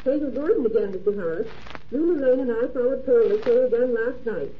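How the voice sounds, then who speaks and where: A woman's voice: on the high side, fairly quick, natural voice. A woman playing a dutiful, matter-of-fact private investigator in a 1930s radio drama. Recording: dull, faintly noisy 1930s radio recording.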